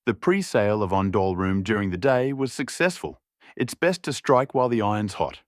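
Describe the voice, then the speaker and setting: A man's voice: lowish voice, lively intonation, moderate pace, no room sound, clean studio sound. A man reading a scripted story as an audiobook narrator.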